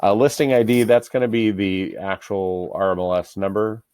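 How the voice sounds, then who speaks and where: Deep-voiced American man giving a casual tutorial. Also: steady tone, normal speed, darkish tone, clear, resonant tone, no room sound. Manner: informal, step-by-step instructing. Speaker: real estate broker giving a software tutorial. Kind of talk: casual screen-share tutorial.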